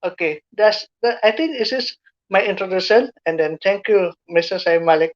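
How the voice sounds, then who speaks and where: A woman's voice: mid-range voice, moderate pace, lo-fi sound. A woman from Indonesia with an Indonesian accent, a guest youth speaker answering interview questions in English with many fillers and restarts. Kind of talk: online interview.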